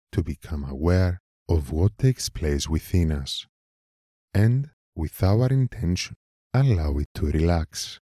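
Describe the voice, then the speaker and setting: A man leading a guided meditation in a calm, measured manner, leaving long pauses between short phrases. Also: deep voice, no echo, clean studio sound. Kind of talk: slow guided meditation narration.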